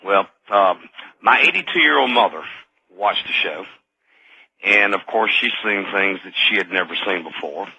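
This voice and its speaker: A man's voice: lowish voice, expressive, moderate pace, vocal fry, sharp tone, slightly rough, low-quality recording. A middle-aged American man calling a radio show, chatting casually in a folksy, chummy way. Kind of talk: casual chat.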